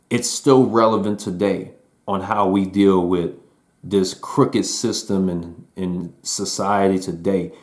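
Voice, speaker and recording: Laid-back low-voiced man reviewing music. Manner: relaxed and conversational, with slang and fillers. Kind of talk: casual album review.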